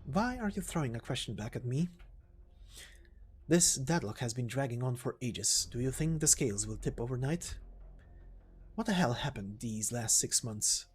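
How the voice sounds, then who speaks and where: A man plays a weary mercenary leader in an amateur game drama, sentimental and brooding. The delivery halts earnestly yet swings in pitch, with music behind it. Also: lively intonation, normal speed, husky. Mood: troubled. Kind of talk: amateur game story voice acting.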